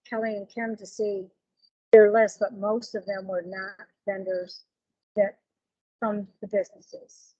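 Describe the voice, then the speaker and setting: Woman chatting at a business meeting, bit high and husky, muffled lo-fi audio. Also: flat delivery, measured pace, mellow, dry recording. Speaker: business association member. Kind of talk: informal meeting discussion. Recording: muffled, low-fidelity meeting recording.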